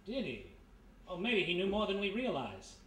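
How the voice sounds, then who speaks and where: A man's voice: sits high, huge pitch swings, normal speed. A man with an American accent playing a wisecracking private detective in a radio mystery, breezy and slangy. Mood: wry, curious. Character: wisecracking, smooth-talking male private detective, former thief. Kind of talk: live 1940s-style radio mystery acting. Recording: noisy echoey hall, distant stage mic.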